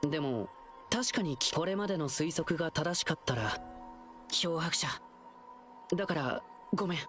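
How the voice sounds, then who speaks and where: A performer voicing a cheerful, confident young male hero in a game dub, with a lively, reassuring way of talking. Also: mid-range voice, normal speed, lightly acted. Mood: earnest. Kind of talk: Japanese game dub acting. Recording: game audio with loud music.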